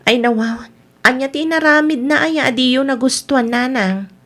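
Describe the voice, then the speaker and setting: Woman playing grown daughter, slightly high voice, mildly teasing, comedy radio drama. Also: normal speed, fairly bright tone. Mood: mild, reasoning.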